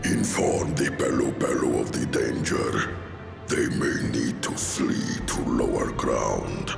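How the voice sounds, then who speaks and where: Very deep, gravelly commanding lord. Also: male voice, takes it slowly, roomy sound, over music, full character voice. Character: grave, commanding male leader in a fantasy game.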